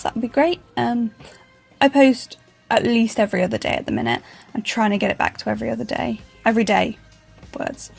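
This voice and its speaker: Woman, croaky husky voice, chatty rambling planner vlogger, soft music behind. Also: a little high. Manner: chatty and self-deprecating, many ums. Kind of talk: casual voice-over narration.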